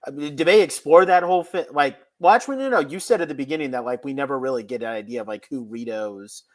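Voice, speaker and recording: A man hosting a podcast chat, working through his thoughts in a halting, roundabout way with emphatic words. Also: mid-range voice. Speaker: podcast host. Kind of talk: casual chat.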